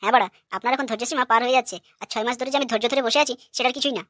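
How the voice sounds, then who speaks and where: Cartoon son-in-law, acted by a woman: aggrieved, hot-headed, higher-pitched voice, extremely fast ranting. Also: fairly bright tone, dry recording, studio quality. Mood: angry, ranting. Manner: brash, ranting. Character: foul-mouthed, hot-tempered, aggrieved young son-in-law. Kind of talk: cartoon comedy dialogue.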